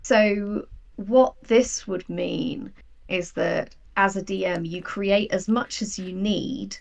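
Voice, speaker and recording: A woman chatting casually as an interview guest, telling things in an animated, anecdotal way. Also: mid-range voice, takes it slowly, creaky. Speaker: guest, sci-fi writer.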